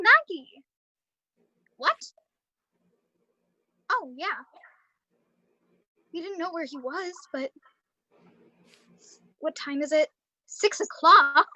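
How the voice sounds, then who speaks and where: A woman playing a worried, brisk mother in a live radio drama, speaking softly. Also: extremely high pitch, expressive, dry recording, full character voice, low-quality recording. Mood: groggy, worried.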